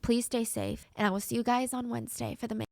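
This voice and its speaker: A woman's voice: a little high, fairly even intonation, quick pace, creaky, warm tone, dry recording. A woman with a cold, sounding stuffy and nasal, telling a true crime story conversationally and asking her viewers questions. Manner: conversational, asks the audience questions.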